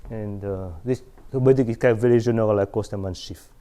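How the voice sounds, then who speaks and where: A man's voice: fairly low pitch, steady tone, warm tone. A middle-aged man lecturing on mathematics at a chalkboard in a large hall, with many fillers and restarts. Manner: halting, many restarts and fillers, asks "right?".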